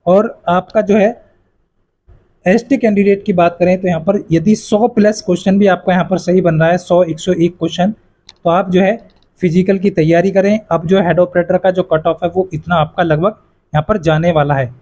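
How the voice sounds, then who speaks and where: High, mellow-voiced man explaining exam cutoffs. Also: fairly even intonation, normal speed. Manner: repetitive, fills with "yahan par" and "jo hai"; addresses viewers as friends, reassuring. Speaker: exam coaching YouTuber. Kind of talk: casual explainer to students.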